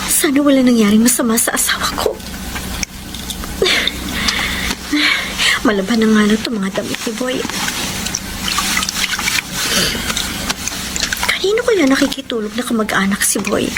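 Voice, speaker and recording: An actress playing a suspicious, hurt young wife in a Filipino radio drama, emotional and accusing, with a Filipino accent. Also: female voice, a little high, close to an everyday voice. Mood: worried, suspicious. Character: suspicious, hurt young wife confronting her cheating husband. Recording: noisy radio drama with background ambience.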